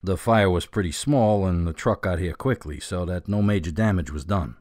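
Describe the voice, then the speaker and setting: A man's voice: sits low, moderate pace, a little mellow, no room sound, studio quality, lightly acted. A man playing an easygoing, plain-spoken and courteous police officer in a radio drama. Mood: matter-of-fact. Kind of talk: scripted radio drama acting.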